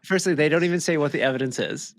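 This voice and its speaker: A man chatting casually as a podcast co-host, critiquing in an animated way. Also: fairly high pitch, fast talker, dry recording. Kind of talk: casual chat and banter.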